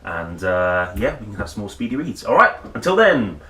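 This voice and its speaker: A man hosting a children's read-aloud, telling a picture book in a playful, expressive, dramatic way with chatty asides. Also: lowish voice, moderate pace. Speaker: host reading picture books aloud. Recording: roomy echo, faint background noise.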